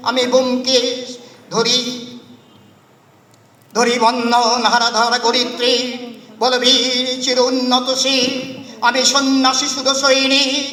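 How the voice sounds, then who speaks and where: A man's voice: very high-pitched, pushed and bright. A man reciting poetry in a dramatic, declamatory style, with emphatic, incantatory delivery in short phrases. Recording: echoey hall reverb, distant voice.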